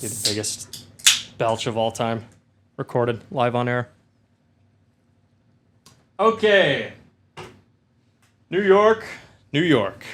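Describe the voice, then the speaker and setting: A man's voice: mid-range voice, animated, extremely slow, long pauses, a bit bright. Teasing male podcast co-host. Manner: jokey, teasing. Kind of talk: casual chat.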